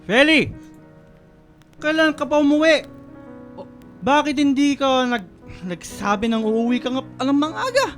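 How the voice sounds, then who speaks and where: A man's voice: very high-pitched, very animated, measured pace, long pauses, fairly bright tone, heavily acted. An actor playing an exasperated, domineering older father and grandfather in a Filipino radio melodrama, scolding with some stammering. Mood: surprised. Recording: music and guitar behind the voice.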